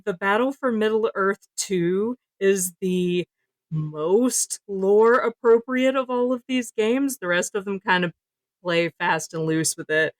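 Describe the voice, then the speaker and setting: A woman's voice: medium pitch, no room sound. A woman co-hosting a casual podcast discussion, informal and giggly, with lots of "like" in her speech. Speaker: podcast co-host.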